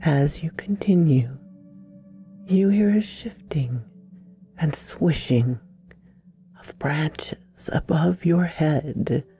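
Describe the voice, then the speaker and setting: A woman hosting a guided meditation, speaking in soothing, deliberate phrases with long pauses and a breathy softness. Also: low-pitched, faint music behind. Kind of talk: slow guided meditation narration.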